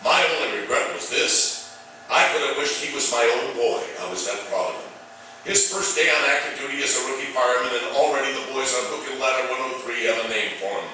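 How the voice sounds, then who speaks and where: An actor playing a kindly, proud veteran fire chief in an old-time radio drama. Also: male voice, medium pitch, fast, bright, forceful tone. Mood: fond, proud. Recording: very echoey hall, distant mic, faint noise.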